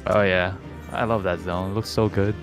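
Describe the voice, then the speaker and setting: Male co-commentator, low register, casual gaming chat, clear music bed. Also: fairly even intonation, moderate pace.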